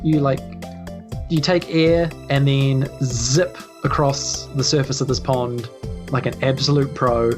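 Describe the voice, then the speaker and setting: A woman's voice: rumbling low, fairly even intonation, a little slow, over music. A woman improvising casual narration as the game master of a tabletop game.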